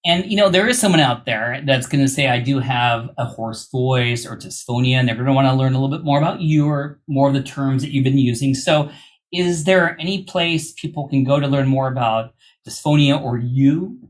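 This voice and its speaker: A man's voice: medium pitch, normal speed. A man hosting a podcast in casual, informal interview talk, recovering from vocal surgery. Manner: informal, chatty, joking. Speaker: podcast host.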